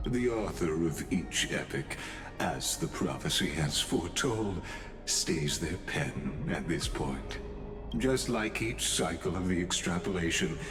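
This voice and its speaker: A deep, slow, theatrical observer. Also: male voice. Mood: calm, ominous. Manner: grandiloquent, archaic, measured phrasing. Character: enigmatic, theatrical male observer, grandiose and quietly amused. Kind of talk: scripted video game voice acting. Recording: echoey, with loud music behind. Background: game music.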